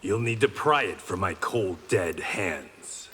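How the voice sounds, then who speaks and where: An actor playing a stern, honorable reformed-gangster swordsman in a video game, speaking in a measured, terse, resolute way. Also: sits low, animated. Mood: defiant. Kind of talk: video game fight banter. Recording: reverberant hall, faint background noise.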